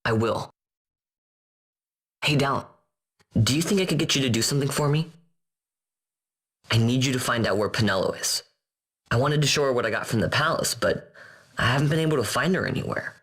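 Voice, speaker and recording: A man's voice: mid-range voice, fairly quick, pauses often, a bit bright, slightly rough. An actor playing a cocky young street boy in a video game, with a casual, boastful manner. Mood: eager. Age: young adult. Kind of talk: video game drama acting.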